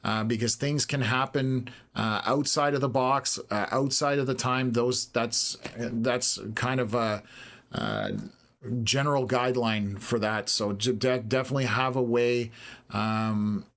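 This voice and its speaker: A laid-back man hosting a weather livestream, talking to his viewers in an unhurried way. His pitch sits in a typical middle range for a man. He uses plenty of filler words as he thinks out loud. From Canada. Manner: unhurried, plain-spoken, many filler words. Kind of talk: casual livestream chat answering viewer questions.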